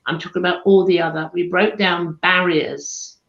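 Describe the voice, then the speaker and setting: A woman's voice: on the low side, fairly even intonation, moderate pace, creaky, a bit bright. A woman with a posh southern English accent, chatting casually as co-host of a live chat show, calling people darling. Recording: echoey room, dull lo-fi sound.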